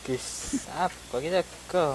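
A man's voice: fairly high pitch, measured pace, gravelly, noisy background. A young man, talking casually on prank calls. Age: young adult.

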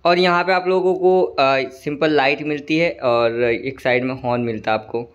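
A man with a slightly hoarse throat giving casual, chatty commentary over a train simulator game, often calling viewers "brother". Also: mid-range voice, normal speed, lo-fi sound. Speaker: gaming channel host.

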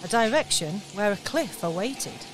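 A woman's voice: mid-range voice, moderate pace. Woman narrating a radio pantomime. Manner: steady storytelling. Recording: radio recording with faint noise.

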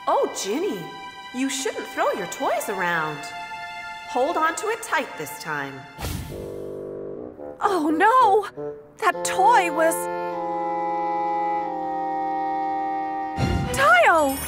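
Very high, swooping young cartoon mechanic. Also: female voice, wildly swooping pitch, slow, long pauses, over music, heavily acted. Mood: alarmed. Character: caring young female mechanic, bright and kindly. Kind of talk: children's animated series acting.

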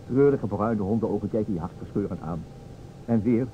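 A man's voice: on the low side, fairly quick, dark tone, natural voice. An actor playing a playful, musing man who improvises scenes for a detective game in an old radio play, speaking hesitantly. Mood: playful, busy. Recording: dull old radio recording, noisy.

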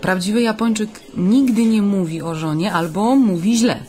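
A woman's voice: mid-range voice, normal speed, warm tone, natural voice. An actress playing a friendly, candid woman journalist, attentive and confiding, in a conversational radio drama. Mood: confiding, thoughtful.

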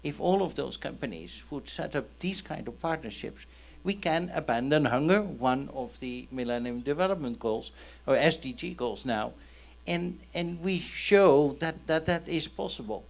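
Emphatic middle-aged man with gravelly voice. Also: a little high, expressive, moderate pace. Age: 50s. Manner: emphatic, asks and answers own questions. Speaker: panelist, company chief executive. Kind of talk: persuasive panel talk. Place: indoor conference event on a stage. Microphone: handheld microphone.

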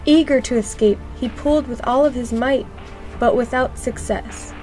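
A woman reading a children's storybook aloud in scripted narration, expressive and clearly phrased for young listeners. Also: a little high, normal speed, faint music behind, poor audio.

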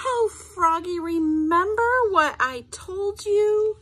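A woman playing an exasperated mother frog from a picture book, chiding her child in drawn-out words. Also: very high-pitched, fairly bright tone, pitched above the performer's own voice, heavily acted.

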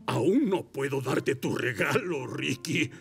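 An actor voicing a jolly old male Santa motorcycle in an animated cartoon, with a theatrical delivery. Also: mid-range voice, slow pace, vocal fry, soft background music. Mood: measured. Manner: theatrical, expressive.

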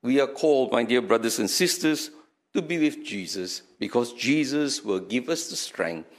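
A man's voice: mid-range voice, animated, normal speed. An older man with a Singaporean accent, an archbishop giving an earnest sermon into a stand mic in a small chapel. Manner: earnest, emphatic, preaching with hand gestures. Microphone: stand mic in front.

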